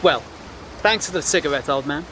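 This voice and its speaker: Guy playing polite english war reporter, lightish higher voice, wry n earnest, radio drama, bit of bg noise. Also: fairly quick, close to an everyday voice. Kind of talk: period audio drama acting.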